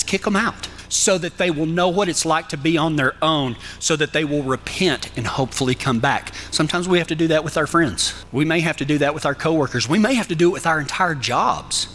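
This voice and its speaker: A man's voice: fairly high pitch, fairly quick, hardly any pauses, fairly bright tone, a little hiss or hum. A man with a Texan accent preaching a folksy sermon as a cowboy preacher, talking to listeners plainly and with humor. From Texas. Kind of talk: sermon with numbered tips.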